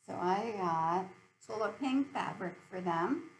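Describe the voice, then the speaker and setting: A woman's voice: mid-range voice, expressive, slow, slight background noise, low-quality recording. A middle-aged American woman chatting casually and cheerfully to viewers as host of a hobby video channel.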